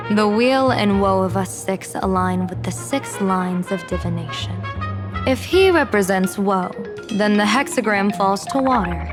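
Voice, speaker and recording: An enigmatic female fortune-teller and general from a video game. She talks slowly and theatrically, musing with deliberate pauses and a playful edge. Music plays clearly behind her. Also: medium pitch. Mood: solemn. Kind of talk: English video game voice acting. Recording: music under the voice.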